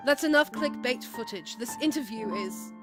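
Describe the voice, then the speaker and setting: A woman's voice: a little high, animated, moderate pace, over music, close to an everyday voice. A woman playing a haughty, flippant, volatile god with an arch, theatrical manner in an amateur comic dub. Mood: mocking. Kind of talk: amateur fandub voice acting.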